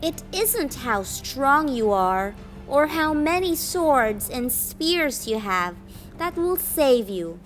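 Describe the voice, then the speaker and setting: Woman as a high-voiced, brave shepherd boy. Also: big pitch swings, slow pace, soft background music, heavily acted. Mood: bold, defiant. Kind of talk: children's storybook read-aloud.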